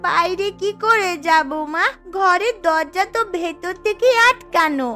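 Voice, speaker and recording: A woman's voice: very high voice, measured pace, sharp tone, faint music behind, exaggerated voice. A woman voicing a dutiful young village daughter in a cartoon. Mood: worried. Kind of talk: Bengali cartoon voice acting.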